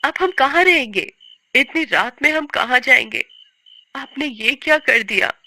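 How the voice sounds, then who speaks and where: A woman voicing a poor, long-suffering mother in a story, gentle, earnest and pleading. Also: high-pitched, lively intonation, measured pace, no echo, pitched above the performer's own voice, full character voice. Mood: distressed. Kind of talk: dramatized story reading.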